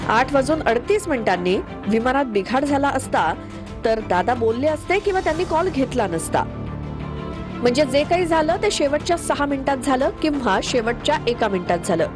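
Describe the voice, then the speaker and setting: Woman, raised pitch, scripted news voice-over, music bed. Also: animated, normal speed. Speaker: news narrator. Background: background music.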